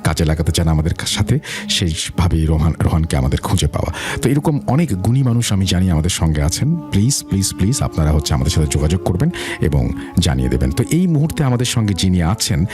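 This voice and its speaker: A man's voice: low voice, sing-song, quick pace, over music. A man with a Bangladeshi accent hosting a show conversationally, mixing English phrases into his talk. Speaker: host of a ghost story show.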